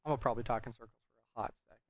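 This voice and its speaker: A man's voice: mid-range voice, very fast, dry recording, muffled recording. A man giving casual, rambling commentary over a video game in a conversational, hedging way. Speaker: gameplay commentator.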